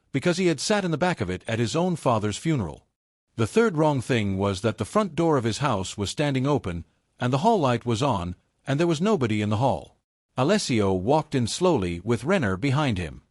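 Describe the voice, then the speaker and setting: Male narrator for dramatic fiction; lively intonation, very dry recording. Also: mid-range voice, no room sound. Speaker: audiobook narrator. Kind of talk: scripted dramatic story narration.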